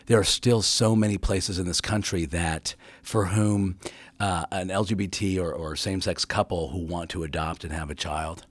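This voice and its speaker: A man, a guest actor, chatting casually in an interview, halting with fillers. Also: low voice, steady tone, no echo.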